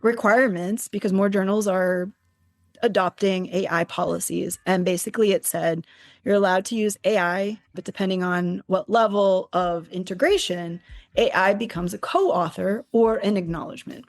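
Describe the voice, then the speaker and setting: A middle-aged woman speaking as an interview guest about academic publishing, animated and emphatic with occasional restarts. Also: mid-range voice, normal speed. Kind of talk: casual interview talk.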